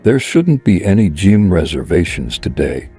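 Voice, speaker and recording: Man acting a deep, husky older president. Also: sing-song, normal speed, dark tone, faint music behind, full character voice. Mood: authoritative. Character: dignified, kindly older male association president. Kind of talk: dramatic manga dub acting.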